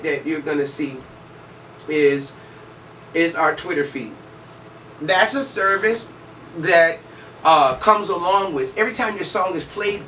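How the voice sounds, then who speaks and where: A woman giving an informal, emphatic promotional pitch, insistently checking in with the listener as she explains services. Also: a bit bright. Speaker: music promotion company chief pitching services. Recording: distant mic, faint background noise.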